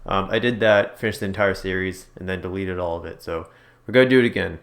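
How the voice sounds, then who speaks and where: A young man giving casual, rambling commentary while playing a game, in a fairly monotone way. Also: sits low, quick pace. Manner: rambling, self-described monotone. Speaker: let's play host.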